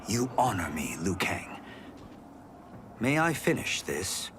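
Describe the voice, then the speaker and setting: A man's voice: on the low side, big pitch swings, slow, background noise. A man playing a humble, earnest warrior champion in a video game, speaking politely and formally. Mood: humble, determined. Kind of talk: cinematic video game dialogue.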